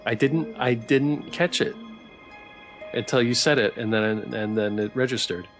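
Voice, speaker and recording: A man with a mid-pitched voice is streaming a playthrough, reading narration and chat aloud. Game music plays behind his voice. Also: a little slow.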